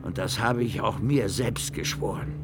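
An actor playing a weary male state prosecutor, stern yet compassionate and measured, in a German radio drama, with a gravelly voice. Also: on the low side, over loud music. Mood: determined.

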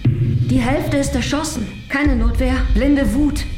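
An actress playing an idealistic revolutionary woman who pleads solemnly and deliberately against vengeance in a stage drama. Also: high-pitched, fairly even intonation. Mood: impassioned pleading. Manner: impassioned, deliberate, pausing delivery. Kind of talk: solemn declamatory stage acting. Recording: roomy sound with loud music underneath.

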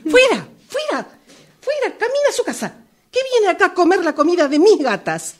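A woman in an amateur radio drama playing a grumpy, pious retired old woman with a husky Rioplatense Argentine accent, complaining and exclaiming melodramatically. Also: very high voice, big pitch swings, normal speed. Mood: indignant.

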